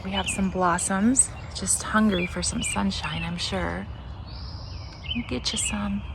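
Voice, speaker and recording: A woman's voice: medium pitch, creaky. A woman giving a casual walking tour of a garden, chatting and thinking aloud as she goes. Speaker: garden vlog host. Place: outdoor backyard vegetable garden. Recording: noisy outdoor ambience with birdsong. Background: birds and outdoor ambience.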